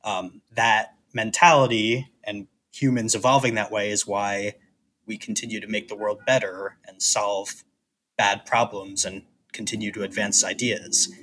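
A man's voice: fairly low pitch, little pitch movement, a little slow, sharp tone, a little hiss or hum, poor audio. A man co-hosting a podcast in casual chat, opinionated and emphatic in how he puts things. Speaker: podcast co-host.

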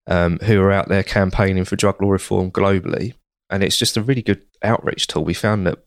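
Croaky low-voiced man, chatty guest. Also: no room sound, clean studio sound. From United Kingdom. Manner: chatty, rambling with restarts. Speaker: podcast guest. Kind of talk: casual interview answers.

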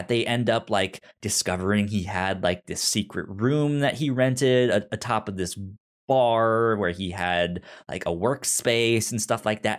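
A man co-hosting a podcast in casual chat, haltingly, often repeating and restarting his words. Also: fairly low pitch. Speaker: podcast co-host.

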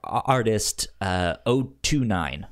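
A man co-hosting a podcast in casual conversation, halting and given to chuckling as he goes through his notes. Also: fairly low pitch, animated. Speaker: podcast co-host.

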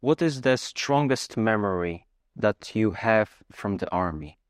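A man hosting a podcast, reading a scripted introduction and asking measured, deliberate questions. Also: fairly low pitch, animated, no room sound, clean studio sound. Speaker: podcast host.

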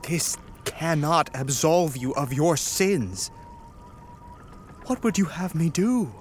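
A man's voice: on the high side, expressive, takes it slowly, a little hiss or hum. A man playing a resolute, wary ship captain in a radio play, with a commanding, dramatic delivery. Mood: stern, conflicted.

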